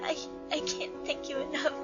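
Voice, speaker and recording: A woman's voice: sits high, flat delivery, moderate pace, natural voice. A woman voicing a shy, kindhearted young boy with polite, hesitant speech in a fan comic dub. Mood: gentle, amused. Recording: muffled recording under loud music.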